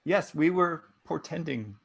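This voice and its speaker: A man's voice: a little high, expressive, unhurried, gravelly, slightly rough. A man co-hosting a home livestream in casual, rambling chat with a wry, whimsical manner. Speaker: livestream co-host and musician.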